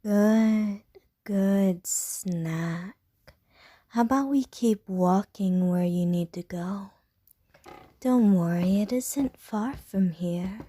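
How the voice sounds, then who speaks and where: Short, teasing female vampire voiced by a woman for ASMR roleplay: unhurried half-whispered delivery, mellow tone, innuendo-heavy flirting. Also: mid-range voice, dry recording. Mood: flirty whisper.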